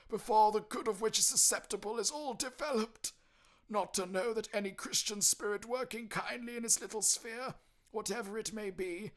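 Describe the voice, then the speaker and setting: A man voicing the anguished ghost of a dead businessman in a theatrical audiobook, slow, wailing and declamatory. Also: very high-pitched, fairly bright tone, pitched above the performer's own voice, full character voice. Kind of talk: theatrical audiobook character acting.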